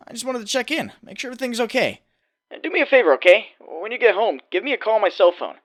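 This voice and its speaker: A man's voice: sits high, lively intonation, quick pace, fairly bright tone. A man playing an anxious, wry young superhero in a comic dub, brooding and self-doubting. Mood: casual, uneasy. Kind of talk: dramatic second-person narration and dialogue, comic dub. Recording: echoey room with faint background noise.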